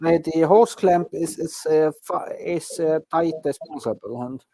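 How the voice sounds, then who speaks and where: A man speaks up in an online class, his voice a little high and still hoarse from being sick. He hesitates and searches for words. Typing clicks sometimes sound behind him. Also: a little slow, warm tone. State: voice still hoarse after illness. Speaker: class student.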